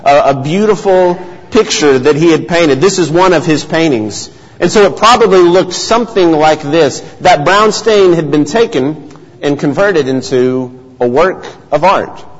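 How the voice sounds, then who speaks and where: A man preaching a sermon as pastor, telling stories in a measured, earnest way. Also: a little high. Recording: dull sound, long hall reverb.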